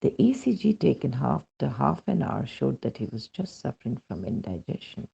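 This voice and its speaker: A man with an Indian accent and a husky, gravelly voice reads a first-person devotional account aloud as scripted narration, in a dull lo-fi recording. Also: mid-range voice, sing-song, normal speed, dark tone. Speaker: reader of a devotional testimonial.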